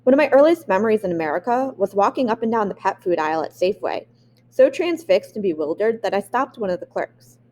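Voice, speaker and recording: A woman reads a memoir aloud at a medium pitch. She reads expressively and sometimes catches on a word and starts it again. Her voice sits in an ordinary middle range for a woman. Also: fast. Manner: expressive reading with occasional restarts. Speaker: audiobook reader.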